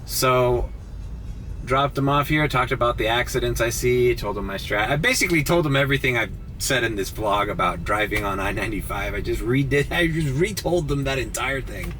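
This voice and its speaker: A man's voice: medium pitch, moderate pace, slight background noise, poor audio. A young man, a rideshare driver, casually telling stories in his car early in the morning, sounding groggy and swearing freely. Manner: swears casually, animated storytelling. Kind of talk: casual driving vlog. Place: inside a car.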